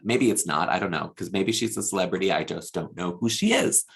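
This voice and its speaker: A man's voice: low voice, brisk, creaky, fairly bright tone. A man giving a chatty, opinionated recap as a host, with a casual, gossipy way of talking. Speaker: podcast host reviewing a TV show.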